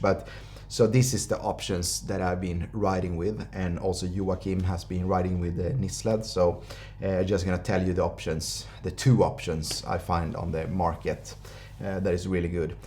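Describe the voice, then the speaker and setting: A man, giving a casual, unscripted product review in a halting, hesitant way. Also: deep voice, level tone, mellow, a little hiss or hum. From Finland. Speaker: presenter of a motorbike gear review. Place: indoor workshop studio.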